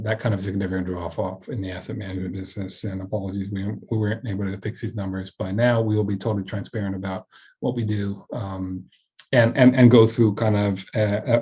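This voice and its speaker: A man's voice: on the low side, mellow, husky. A man speaking as a company chairman on a web earnings call, giving a semi-scripted business presentation and often repeating words and restarting.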